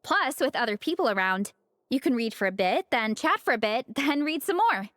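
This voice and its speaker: A woman's voice: on the high side, very animated, normal speed, fairly bright tone, no echo, clean studio sound. An actress voicing an upbeat, sociable young woman in a video game, telling things in a breezy, friendly way. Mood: breezy, chatty. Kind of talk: English video game voice acting.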